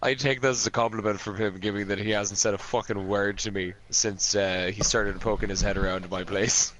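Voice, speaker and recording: A man's voice: fairly low pitch, fairly even intonation, a little fast, fairly bright tone, poor audio. A man playing an online tabletop role-playing game, talking casually and bluntly with plenty of swearing, over background noise. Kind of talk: casual in-character play and recap.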